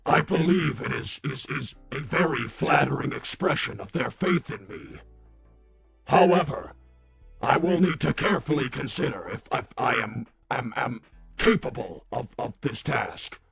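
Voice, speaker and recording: Thoughtful robot, quiet, slow, very gravelly, formal stutter, livestream roleplay, faint music behind. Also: male voice, mid-range voice, animated, full character voice. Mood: hesitant. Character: soft-spoken, deliberate, halting robot hedgehog philosopher. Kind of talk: improvised character roleplay on a livestream.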